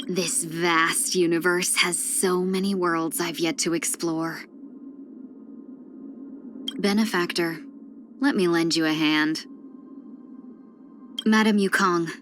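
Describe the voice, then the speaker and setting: A woman voicing a video game character, a gentle, wistful fox-woman who speaks softly and politely in measured, reflective lines. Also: lowish voice. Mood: quietly resolved. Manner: soft-spoken, measured, reflective, drifting into breathy near-whispers; polite and refined.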